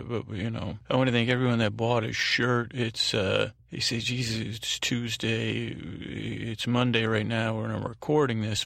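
A man with a gravelly, creaky voice and an average pitch rambles through an improvised bedtime monologue. He halts, repeats himself and lets thoughts trail off, recorded very dry with no room sound. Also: a bit bright, dry recording. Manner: meandering, halting, repetitive, trailing off. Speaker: podcast host.

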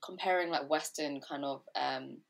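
A woman's voice: low-pitched, moderate pace, creaky, low-quality recording. A woman chatting casually as a podcast co-host, with lots of fillers. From Vietnamese family. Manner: relaxed, many fillers like "um" and "like". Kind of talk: casual chat.

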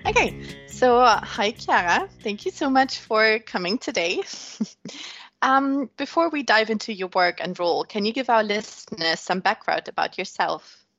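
A woman's voice: a little high, animated, moderate pace, a bit bright, highs cut off, soft background music. A woman co-hosting a podcast, reading an episode intro and then greeting the guest with some hesitant fillers. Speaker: podcast co-host.

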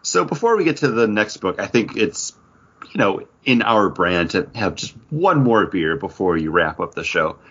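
A man's voice: lowish voice, very animated, moderate pace, fairly bright tone, husky. A man co-hosting a podcast in casual chat, talking in a rambling way with lots of fillers. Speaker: podcast co-host. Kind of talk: casual chat about comics and beer. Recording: dull lo-fi sound.

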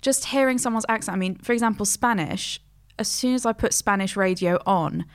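A woman's voice: medium pitch, brisk. A woman in casual conversation, asking questions and commenting in a teasing, engaged way.

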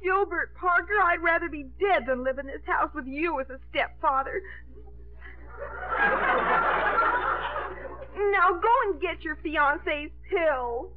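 An actress playing a melodramatic, indignant young daughter who makes a show of self-sacrifice, in 1950s radio comedy style. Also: female voice, sits high, moderate pace, natural voice. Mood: indignant, tearful. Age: young adult. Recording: tinny vintage radio broadcast, faint music.

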